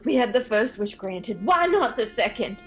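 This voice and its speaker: A woman's voice: on the high side, big pitch swings, moderate pace, soft background music. A woman playing an obsessive, grieving elderly mother in a radio horror drama, imploring and intense. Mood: feverish, demanding.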